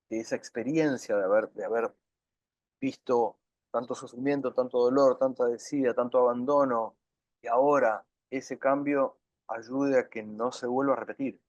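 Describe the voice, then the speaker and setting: A man answering interview questions in an earnest, measured way, often repeating phrases for emphasis. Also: mid-range voice, no room sound, highs cut off. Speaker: interview guest, documentary filmmaker.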